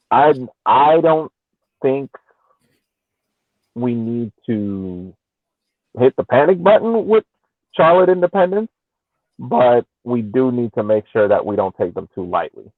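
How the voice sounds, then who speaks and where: Man on a tinny phone-like line, halting. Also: medium pitch, expressive, no room sound. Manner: halting, searching for words with repeats. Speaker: podcast co-host. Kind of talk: casual soccer analysis. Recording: tinny, phone-like narrowband call audio.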